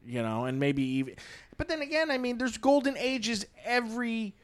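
A man's voice: very high-pitched, sing-song, normal speed, smooth, no room sound. A man chatting casually on a film podcast, rambling with lots of "you know" and "I mean.". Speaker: podcast guest, film commentator.

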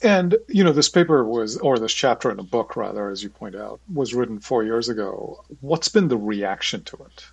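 A man's voice: mid-range voice, expressive, moderate pace, vocal fry, hardly any pauses, husky, no room sound. A man hosting an interview, speaking conversationally and discursively, with asides leading into his questions. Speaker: podcast host and investor.

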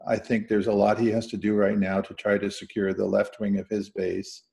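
A man giving conversational analysis as a podcast panelist, measured and careful to qualify what he says. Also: on the low side, level tone, creaky, darkish tone.

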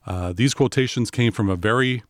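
A man with a baritone voice co-hosting a podcast in casual, rambling chat with fillers and restarts. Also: normal speed, dry recording, studio quality. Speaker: podcast co-host.

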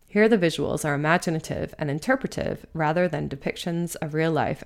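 Low-pitched, creaky-voiced woman reading an essay. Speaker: host reading their own essay. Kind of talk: scripted narration of a literary essay.

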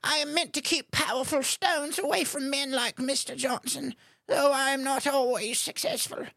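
A woman's voice: high voice, fairly even intonation, moderate pace, vocal fry, a bit bright, dry recording. A woman acting a mysterious, reclusive witch in an audio drama, with solemn, formal, dry delivery. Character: mysterious, reclusive witch, guardian of magic stones.

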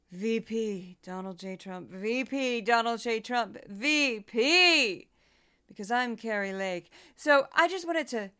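A woman's voice: medium pitch, bright, forceful tone, smooth. A woman putting on a parody of a smug, self-deluded female politician hosting a party, emphatic and insistent, in a satirical radio play.

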